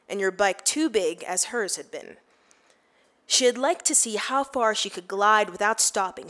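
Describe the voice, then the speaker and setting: Female actor, higher-pitched voice, for dramatic narration of literary fiction. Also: a bit bright. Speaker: actor giving a dramatic reading.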